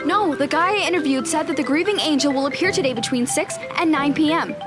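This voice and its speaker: High lively voice, woman acting as plucky teen girl, amateur ghost hunter, expressive, stubborn, audio drama, music behind. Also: fast talker, natural voice. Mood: bossy, stubborn. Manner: self-important, expressive, exasperated.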